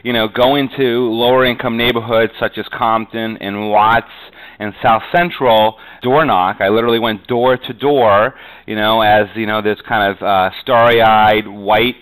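A middle-aged man from New England with a somewhat low voice. He speaks as a podcast guest, telling his story conversationally, in a rambling way full of verbal padding. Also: steady tone, a little slow, fairly bright tone, clean tone, rough recording. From New England, USA. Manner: rambling, often says "you know". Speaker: podcast guest, real estate agent.

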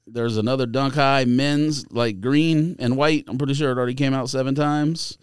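A man's voice: medium pitch, steady tone, normal speed, clear, resonant tone, dry recording, clean studio sound. A man co-hosting a podcast in casual chat, blunt and teasing in the way he talks. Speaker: podcast co-host.

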